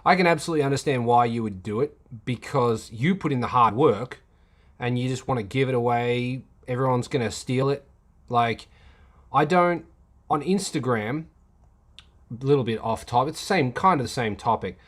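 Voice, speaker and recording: A man's voice: medium pitch, moderate pace. A man talks casually to his live stream, reading out chat comments and answering them. He rambles and restarts often, filling gaps with "um" while sorting out his thoughts. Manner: rambling, many fillers and restarts; reads and replies to chat comments. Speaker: livestream host answering chat.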